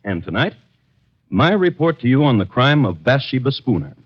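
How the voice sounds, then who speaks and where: A man hosting an old radio crime show, reading scripted narration in a deliberate, dramatic, formal manner. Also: mid-range voice, big pitch swings, breathy edge. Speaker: host and narrator. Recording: dull vintage radio recording. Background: music.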